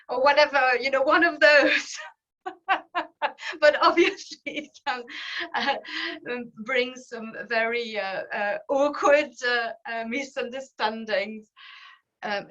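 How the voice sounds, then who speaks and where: A woman's voice: fairly high pitch, a bit bright, muffled recording. French-accented woman in her fifties, hesitant. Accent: French, Alsatian. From France. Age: 50s. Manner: hesitant, many restarts and ums, laughs at herself. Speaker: guest speaker. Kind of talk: personal talk on language.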